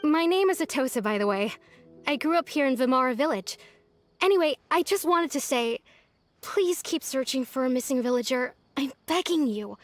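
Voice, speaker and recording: A woman's voice: high-pitched, lively intonation, moderate pace, no room sound, soft background music. A woman voicing an earnest, worried young villager in a video game, with a pleading manner. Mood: anxious, earnest. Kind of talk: video game character acting.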